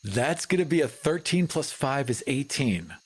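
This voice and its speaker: A man's voice: mid-range voice, expressive, normal speed, noisy background. A man playing a tabletop roleplaying game, casually narrating his character's actions in a descriptive, cinematic way.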